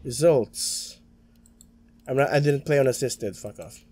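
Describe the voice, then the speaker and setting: A young Filipino-accented man talks through his game on a headset mic from a small home room. He rambles loosely, restarting sentences and stumbling over words, sometimes muttering quietly to himself. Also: a little high. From Philippines. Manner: rambling, many restarts and stammers, mumbles to himself. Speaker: gaming streamer. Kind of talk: casual let's-play commentary.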